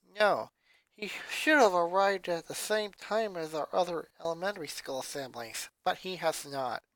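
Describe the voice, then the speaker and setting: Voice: medium pitch, unhurried, a bit bright, no echo, poor audio. A fan reader voicing a condescending child genius who speaks formally and dryly. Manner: formal, clipped, dry. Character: pedantic genius little girl, condescending.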